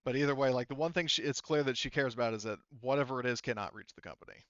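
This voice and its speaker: A man running a tabletop roleplaying game, explaining the story in a halting, conversational way. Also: mid-range voice, fairly bright tone, no room sound, lo-fi sound. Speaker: game master.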